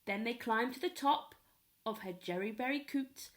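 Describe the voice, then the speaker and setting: A woman reading a children's story aloud in an expressive storytelling style. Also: on the high side. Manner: pauses to ask young listeners questions. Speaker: storyteller at a primary school.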